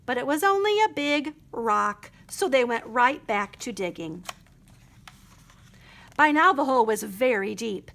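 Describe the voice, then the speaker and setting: A woman reads a children's story aloud in a high voice. She narrates expressively, like a librarian at storytime. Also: expressive, measured pace, a bit bright. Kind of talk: expressive picture book storytime reading.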